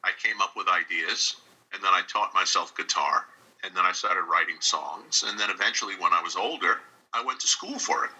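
Bright, raspy man from New York. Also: deep voice, fairly quick, poor audio. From New York, United States. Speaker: interview guest, radio drama director and instructor. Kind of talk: conversational interview answers. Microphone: video call.

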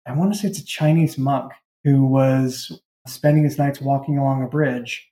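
A man's voice: medium pitch, fairly even intonation, normal speed, mellow. A man speaking conversationally in an interview, as a writer explaining his creative work. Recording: roomy, echoing sound.

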